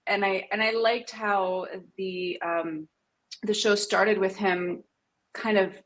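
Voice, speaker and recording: A woman's voice: medium pitch, fairly even intonation, slow pace, low-quality recording. A woman giving her opinions as a panelist in a casual fan discussion.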